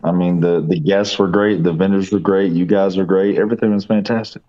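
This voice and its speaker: Deep, slightly hoarse convention organizer on a call. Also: male voice, fairly even intonation, fairly quick. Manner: earnest, repeats phrases, lists praise. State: slightly hoarse from talking all weekend. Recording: dull lo-fi call audio.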